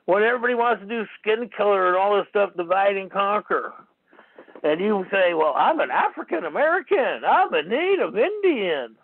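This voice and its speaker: A man with a high, gravelly voice holds forth in a rambling, argumentative monologue. The sound is tinny, like a phone line, and close and dry. He circles back over his points again and again. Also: very animated, moderate pace, dry recording. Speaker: talk show host. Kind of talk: rambling call-in radio monologue.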